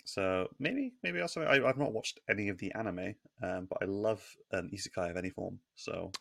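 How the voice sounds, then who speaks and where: A man's voice: fairly low pitch, lively intonation, brisk, gravelly, a bit bright, no room sound, studio quality. A man co-hosting a podcast, bantering playfully and joking with his co-host. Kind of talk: casual chat.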